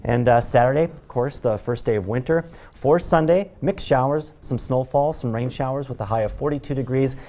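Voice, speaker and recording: A man's voice: mid-range voice, moderate pace, few pauses, dark tone. A man with an American accent presenting a weather forecast on television in an informal, explanatory way. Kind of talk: live broadcast weather forecast, conversational.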